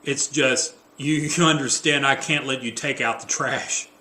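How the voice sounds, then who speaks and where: A man voicing a bossy, superstitious, excitable wife in a play, fretful, insistent and melodramatic. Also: medium pitch, unhurried, echoey room, voice pushed up.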